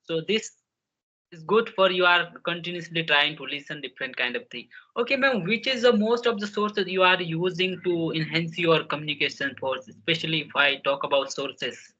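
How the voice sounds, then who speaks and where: A man leading a casual conversation, speaking haltingly and restarting his sentences. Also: fairly high pitch, pushed and bright. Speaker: English conversation partner. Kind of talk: casual English practice conversation. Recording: dull lo-fi call audio.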